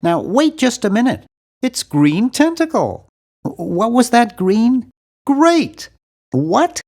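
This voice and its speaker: An American man voicing a nerdy, nervous young man in a cartoon adventure game, earnest and fussy, with a nasal, awkward, excitable delivery. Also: extremely high pitch, slow pace, vocal fry, heavily acted. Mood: panicky, excited.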